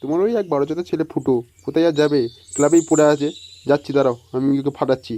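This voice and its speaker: Casting: male actor as brash village youth, slightly high voice, very fast. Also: steady tone, warm tone, natural voice. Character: blunt, slangy village young man.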